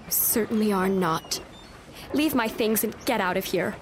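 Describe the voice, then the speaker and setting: A woman's voice: medium pitch, moderate pace, close to an everyday voice. An actress playing a proud, haughty young Roman noblewoman who speaks dramatically, in an audio drama. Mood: indignant. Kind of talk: full-cast audio drama acting. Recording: loud background ambience noise.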